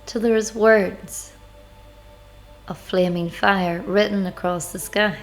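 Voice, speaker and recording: A woman leading a devotional guided meditation, speaking in measured, deliberate phrases with pauses. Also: medium pitch, steady tone, rough recording. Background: background music.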